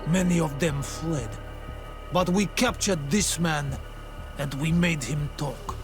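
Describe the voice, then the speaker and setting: An actor playing an earnest, cautious native islander in a video game, with simple, careful phrasing. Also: male voice, on the high side, slow pace, music in the background. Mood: earnest, urgent.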